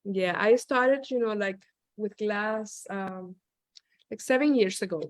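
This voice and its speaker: A woman's voice: medium pitch, slow, no echo. Venezuelan woman on a video call, hesitant. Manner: hesitant, many "you know" and "um" fillers. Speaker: visiting artist. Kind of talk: casual chat on a video call.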